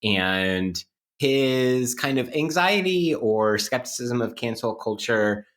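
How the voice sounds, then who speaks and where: A man's voice: mid-range voice, expressive, slow, a bit bright. A man talking casually and analytically on a podcast, wry and academic, circling around his points as he explains. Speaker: podcast co-host playing interview guest.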